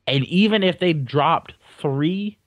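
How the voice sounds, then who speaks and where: Opinionated young man, fairly high voice. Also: slow, no echo, clean studio sound. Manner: blunt and opinionated, a little rambling. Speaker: podcast co-host. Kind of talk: casual chat about video games.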